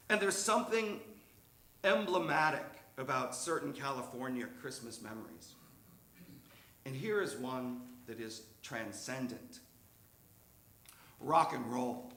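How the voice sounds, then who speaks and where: A man reading a memoir story aloud at a live show in a theatrical, emphatic, drawn-out manner, recorded in a roomy stage space. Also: mid-range voice, fairly bright tone.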